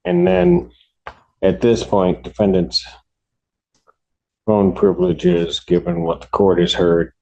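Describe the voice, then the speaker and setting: A man speaking as a judge, handing down rulings from the bench in a deliberate, halting official way. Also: low-pitched, sing-song, creaky, warm tone. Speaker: judge presiding over a court hearing. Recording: dull lo-fi courtroom audio.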